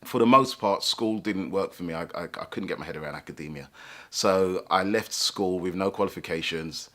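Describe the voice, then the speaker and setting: A man with a deep, low-pitched voice tells his own life story. He speaks reflectively and thoughtfully, often pausing to find the right words. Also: a bit bright. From England, London. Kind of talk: personal life story told to camera.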